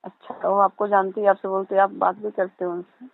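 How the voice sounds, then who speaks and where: Woman on a phone call, gravelly edge, pushy and accusing, muffled tinny line. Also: medium pitch, flat delivery, a little fast, no echo. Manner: insistent, accusing. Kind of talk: casual phone chat.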